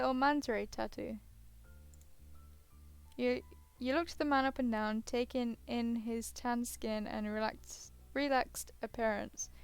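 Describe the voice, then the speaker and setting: A woman with a fairly high, very smooth, clean voice reads a visual novel aloud as a streamer. She sounds tired and often interrupts herself with hesitant, casual comments. Music plays underneath. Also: dry recording. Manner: hesitant, self-interrupting asides. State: tired, yawning. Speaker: gaming streamer.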